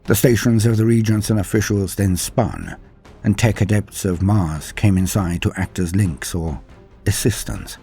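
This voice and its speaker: A man giving dramatic scripted narration of a war story in a measured, grave manner. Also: on the low side, vocal fry, mellow, husky, faint music behind.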